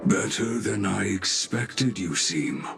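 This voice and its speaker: An actor playing a calm, gruff warrior comrade in a game, terse and restrained in his delivery. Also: deep voice, slow, music in the background, exaggerated voice. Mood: quiet concern. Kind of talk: scripted dramatic game voice acting.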